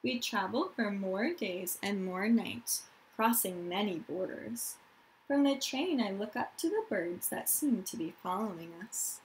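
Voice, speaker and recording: A woman reads a children's story aloud as a teacher would, with a slow pace and a dramatic, deliberate delivery. She speaks directly to young listeners and explains things as she goes. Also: mid-range voice, lively intonation, slight background noise, rough recording. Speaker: teacher reading a picture book aloud to pupils.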